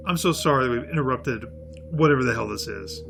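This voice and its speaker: An actor playing a bossy, sarcastic investigator in a comedic audio drama, theatrical and exasperated. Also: male voice, mid-range voice, expressive, fast talker, gravelly. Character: blustering, sarcastic male investigator leading an oddball agency. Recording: music behind the dialogue.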